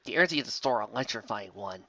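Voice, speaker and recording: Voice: mid-range voice, expressive, fast, fairly bright tone, no echo, lo-fi sound. A host reading fan fiction comedically, with theatrical, exclamatory delivery and sarcastic asides. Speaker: host of a fanfic reading show. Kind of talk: comedic dramatic reading with mocking commentary.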